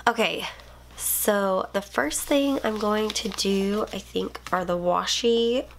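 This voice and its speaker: A woman's voice: medium pitch, slow, vocal fry, slight background noise, poor audio. A young woman, a planner YouTuber and mom, chatting casually at home while filming her desk from overhead, a bit sniffly and rambling with lots of ums. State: sniffly, unwell. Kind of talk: casual plan-with-me chat. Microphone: overhead camera, hands only.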